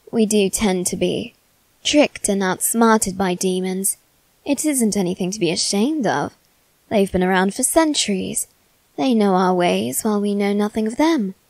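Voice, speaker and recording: A woman's voice: mid-range voice, darkish tone, dry recording. A woman playing a teasing, haughty young male demon servant in a theatrical, mock-polite ASMR roleplay. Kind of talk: ASMR character roleplay addressed to the listener.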